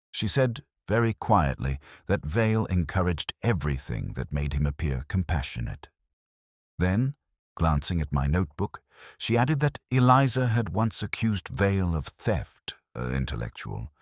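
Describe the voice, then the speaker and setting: A man narrating a scripted detective story as a brooding police inspector, in a measured, solemn, literary way. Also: low-pitched, dry recording, studio quality.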